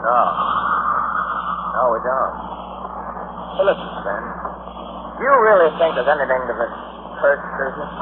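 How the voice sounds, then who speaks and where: An actor playing an earnest, anxious young sailor in a 1940s radio thriller, plain-spoken and dramatic. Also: male voice, mid-range voice, normal speed, long pauses, natural voice. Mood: uneasy, confiding. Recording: very noisy, tinny 1940s radio recording.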